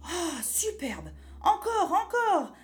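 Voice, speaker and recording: A woman's voice: high voice, a little slow, dark tone, reverberant, pitched above the performer's own voice. A woman voicing a cheeky, childlike little boy from a children's story, given to exclaiming. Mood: jubilant. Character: cheeky little boy, pleading then delighted, in a bedtime story.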